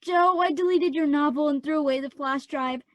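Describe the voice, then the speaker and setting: A woman's voice: sits high, normal speed, fairly bright tone, dry recording. A woman playing a remorseful young girl in a modern radio play, pleading with childlike earnestness.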